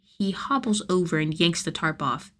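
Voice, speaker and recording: A woman reading a scripted story aloud as an expressive fiction narrator. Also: on the low side, moderate pace. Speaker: podfic narrator.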